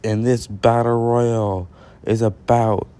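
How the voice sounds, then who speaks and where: A man's voice: medium pitch, level tone, slow pace, warm tone, smooth. A man doing pro wrestling commentary and introductions, drawing out his phrases as he announces.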